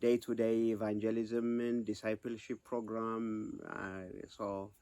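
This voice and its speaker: Ministry director, low-ish voice, dry close sound, earnest and plain. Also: male voice, level tone, takes it slowly, warm tone, clear, resonant tone, no room sound. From Ethiopia. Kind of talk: interview testimony.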